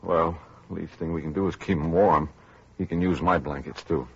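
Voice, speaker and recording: A man's voice: low-pitched, steady tone, moderate pace, creaky, husky, full character voice. An actor playing a suspicious, grumbling working man with blunt, folksy speech in an old-time radio drama. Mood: worried. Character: suspicious, grumbling working-class male survivor. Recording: noisy muffled old radio recording.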